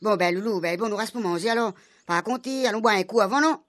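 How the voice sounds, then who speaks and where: A woman's voice: mid-range voice, quick pace, fairly bright tone, lightly acted. A woman with a Réunion Creole accent voicing a hungry, blunt earthling buddy in a comic radio serial. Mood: teasing. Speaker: narrator and character actor in a radio serial. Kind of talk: radio serial acting in Creole-flavoured French.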